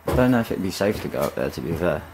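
Relaxed English man vlogging over loud noise. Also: low voice, rapid-fire. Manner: relaxed, rambling, self-correcting. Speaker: photography vlogger. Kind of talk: casual vlog commentary. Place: in a car, then outdoors at abandoned sites. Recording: loud background noise from car and wind.

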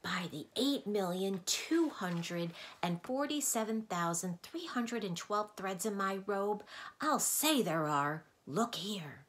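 A grandiose male number wizard, as a woman reading aloud puts him on, who bellows his boasts across a cavern and then leans in to confide. His voice sits higher than her own low reading voice and swells loud and proud. It is a storybook character with a theatrical, showy manner. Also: animated, takes it slowly.

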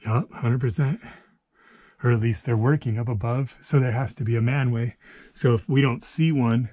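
Man exploring a mine, mellow voice. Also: medium pitch, steady tone, moderate pace. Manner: curious, thinking aloud, often hushed to near-whisper. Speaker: mine explorer and channel host. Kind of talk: casual exploring commentary. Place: inside an abandoned mine tunnel.

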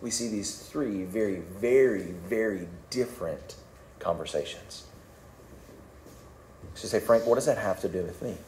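Calm, low-voiced pastor in an echoey church. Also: male voice, normal speed, long pauses, slight background noise. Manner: conversational, self-deprecating, repeats words. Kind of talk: sermon. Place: church sanctuary.

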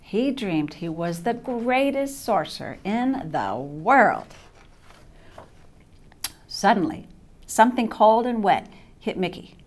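An older woman reading a children's bedtime story aloud in an expressive, deliberate way, with dramatic emphasis. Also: medium pitch. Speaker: storybook reader, childcare coordinator. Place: small indoor set with curtain backdrop.

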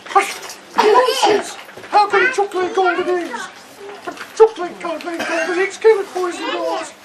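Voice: very high voice, unhurried. A puppeteer voicing a fussy, sceptical, scatty mother who exclaims and repeats herself in a live children's puppet show. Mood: scornful. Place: outdoor puppet booth in a garden. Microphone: far camera. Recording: distant camera, noisy outdoor children's crowd.

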